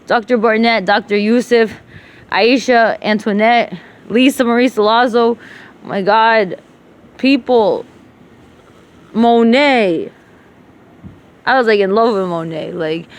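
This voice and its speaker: Rambling, profane woman switching languages. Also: mid-range voice. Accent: rural Levantine Arabic when speaking Arabic. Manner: emotional, profane, switches between Arabic and English. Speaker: vlogger talking to herself. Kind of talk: rambling personal monologue.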